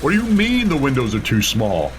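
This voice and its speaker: An actor playing a pushy, frustrated construction boss, with engine noise behind. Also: male voice, medium pitch, huge pitch swings, brisk. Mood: exasperated. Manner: pushy, exasperated.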